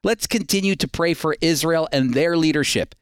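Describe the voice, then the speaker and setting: A man's voice: on the high side, moderate pace, clean tone, dry recording, clean studio sound. A man hosting a radio program, presenting introductions and interviewing a guest. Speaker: radio show host and Bible teacher.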